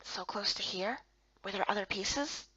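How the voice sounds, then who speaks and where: Cool young guardsman played by a woman, hushed and teasing, visual novel role, slightly dull recording. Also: mid-range voice, a little slow, husky, dry recording. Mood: intrigued, serious.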